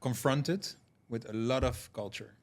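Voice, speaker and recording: A man from Curaçao, a guest on a podcast, telling stories in a thoughtful, relaxed way with many fillers. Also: mid-range voice, a little slow. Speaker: podcast guest, payments founder. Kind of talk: casual podcast conversation.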